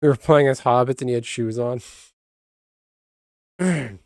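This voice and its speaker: A man with a calm, even voice chats casually with his viewers. He rambles, restarts his sentences and talks straight to the chat. His voice sits at a middle pitch, close to the mic in a dry, dead room. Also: measured pace, dry recording. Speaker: streamer. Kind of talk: casual live-stream chat.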